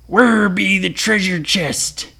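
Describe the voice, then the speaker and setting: A woman voicing a gruff, loud male pirate captain who growls his words in a bedtime story. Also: mid-range voice, slow pace, full character voice. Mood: commanding.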